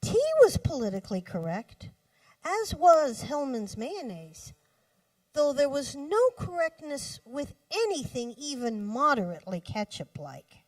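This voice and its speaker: A woman poet reads her work aloud at a live reading in a fairly high voice. Her delivery is slow and deliberate, with measured pauses between phrases. She is picked up from a little distance from the microphone. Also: very animated, darkish tone, no room sound. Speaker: poet reading own poems. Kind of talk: live poetry reading with brief spoken introductions. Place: cafe poetry reading.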